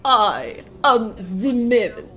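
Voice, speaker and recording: Slow, whispery mysterious horror hostess played by a woman. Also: a little high, sing-song, bright, forceful tone, exaggerated voice. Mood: mysterious, sultry. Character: theatrical, mysterious female horror hostess of the evening.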